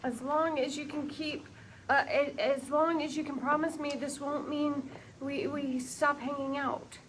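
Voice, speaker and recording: A woman's voice: high-pitched, fairly even intonation, a little slow, a bit bright. A woman playing an emotional, insistent wife and close friend in a sitcom script table read. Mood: earnest, pleading. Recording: clearly noisy room recording.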